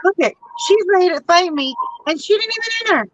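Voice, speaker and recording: A woman's voice: very high voice, expressive, fast, no room sound, highs cut off. A woman chatting on a live online show, playful and teasing. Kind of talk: casual group chat.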